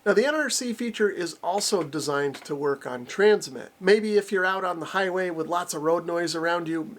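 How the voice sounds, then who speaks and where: An American man with a slightly high voice presents a hands-on product demo. He explains each step clearly in an everyday reviewer's style. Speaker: radio reviewer and demonstrator.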